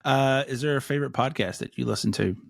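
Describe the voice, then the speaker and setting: A man's voice: mid-range voice, vocal fry, dry recording. Dry-sounding man hosting a chat. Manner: asks quick questions, jokes and laughs. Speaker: podcast host. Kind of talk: casual interview chat.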